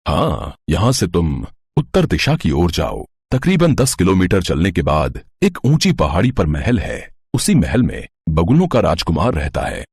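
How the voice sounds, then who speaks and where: A man voicing a calm old hermit. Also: low-pitched, measured pace, hardly any pauses, husky, dry recording, full character voice. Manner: calm, directing.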